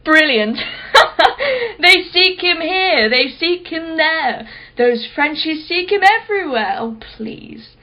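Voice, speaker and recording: A woman's voice: sits high, animated, takes it slowly, dull audio. A woman playing a wealthy, sarcastic customer in a radio play, with a wordy, theatrical way of talking. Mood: playfully sarcastic.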